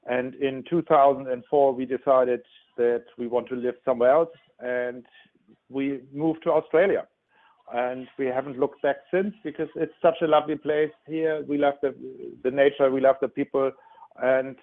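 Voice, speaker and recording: A man's voice: mid-range voice, steady tone, normal speed. A man in his sixties with a German accent, a relationship expert explaining his ideas in an interview in an earnest manner, often restarting his words. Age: 60s. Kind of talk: interview answers. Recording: phone-like narrow sound.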